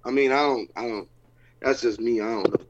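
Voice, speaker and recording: A man talks excitedly as a football head coach, repeating phrases in loose, informal speech. His voice sits at a middle pitch. The recording sounds dull and lo-fi. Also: fairly quick. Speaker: head coach and team owner. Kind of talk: casual chat.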